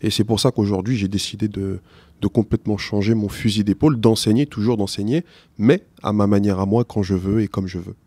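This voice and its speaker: A middle-aged man whose first language is not French, answering interview questions as a teacher, slowly and hesitantly with many restarts. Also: fairly low pitch, steady tone, warm tone, no echo.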